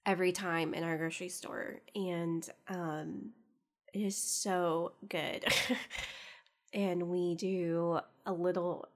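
A young woman chats her way through a solo podcast at an unhurried pace, her voice on the lower side. She sounds stuffy and congested from a cold. She rambles easily, filling pauses with fillers and soft laughs. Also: level tone. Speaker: podcast host. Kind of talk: casual solo podcast chat.

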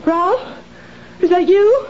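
An actress playing a nervous, hesitant, guilt-ridden wife in a 1970s radio drama. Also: female voice, very high-pitched, slow pace, highs cut off, background noise. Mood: tense. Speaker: lead character.

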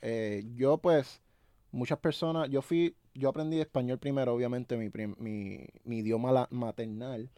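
A man's voice: mid-range voice, steady tone, moderate pace, dark tone, clean tone, no room sound, studio quality. A young man with an Ecuadorian Spanish accent, co-hosting a podcast in a friendly, agreeable way. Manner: friendly, agreeable, laughs along. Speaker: podcast co-host. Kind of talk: casual podcast chat.

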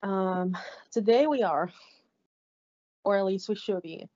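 A woman with a Brazilian Portuguese accent, co-hosting a podcast in a halting, casual way, with many fillers and restarts. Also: medium pitch, a little mellow. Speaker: podcast co-host. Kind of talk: casual recap chat. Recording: slightly dull lo-fi audio.

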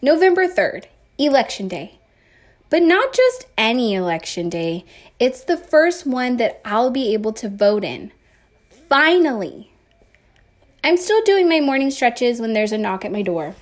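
A woman reading a first-person story aloud with expressive, emphatic phrasing, like a teacher. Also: a little high, measured pace.

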